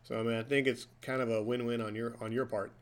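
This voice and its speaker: A man's voice: mid-range voice, little pitch movement, fast talker. Joking forty-something male podcast host. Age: 40s. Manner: joking, chatty, playful ribbing. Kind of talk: casual podcast chat. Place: hotel room.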